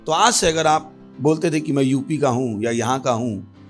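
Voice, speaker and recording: Male pastor, Indian accent, mid-pitched voice, emphatic sermon delivery with repeated refrains, music underneath. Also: moderate pace. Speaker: pastor preaching to a congregation. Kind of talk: sermon with prayer and Bible teaching.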